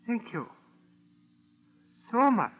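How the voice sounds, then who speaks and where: Very high-voiced fanatical Japanese spy. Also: male voice, big pitch swings, very slow, heavily acted. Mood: ingratiating, scheming. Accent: exaggerated Japanese accent. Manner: clipped broken English, ingratiating and grandiose. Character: boastful, fanatical little Japanese spy posing as eager informant. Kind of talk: 1940s radio acting. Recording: dull lo-fi 1940s radio recording.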